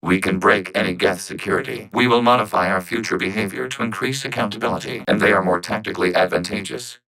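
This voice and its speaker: An actor playing a calm, logical synthetic robot in a video game, speaking in measured, formal phrases through robotic voice processing. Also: male voice, deep voice, gravelly, slight background noise, heavily acted, low-quality recording. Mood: calm, factual. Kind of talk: video game character dialogue.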